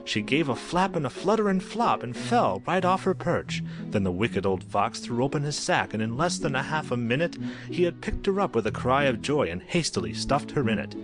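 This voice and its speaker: Lilting male storyteller over loud music. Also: mid-range voice, big pitch swings, normal speed, few pauses. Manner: sing-song rhythmic delivery. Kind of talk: children's story narration in rhyme.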